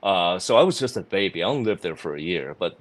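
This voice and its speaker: Casting: man from China, lower voice, lively swings in pitch, animated chatty guest. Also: fast talker. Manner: animated, laughs easily, restarts often. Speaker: guest actor. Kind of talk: casual interview chat.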